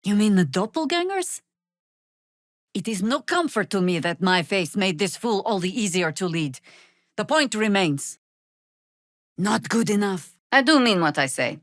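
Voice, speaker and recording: An actress playing a seasoned, sardonic older female druid warrior in a fantasy video game, wry, commanding and deliberate. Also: mid-range voice, expressive, no room sound, studio quality, close to an everyday voice. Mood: stern, dry.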